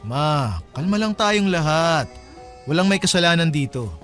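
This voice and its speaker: A man's voice: a little high, animated, a little slow, fairly bright tone, soft background music. A man playing a polite but flustered peacemaking eldest son in his late twenties in a Filipino radio drama. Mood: calming, polite.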